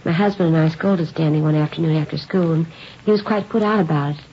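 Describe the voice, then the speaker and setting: A woman's voice: deep voice, quick pace, muffled recording, lightly acted. An actress playing a polite, worried mother in her early forties in a radio drama, hesitant and holding her anxiety in. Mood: composed. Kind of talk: 1950s radio drama acting. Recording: hissy, band-limited old radio recording.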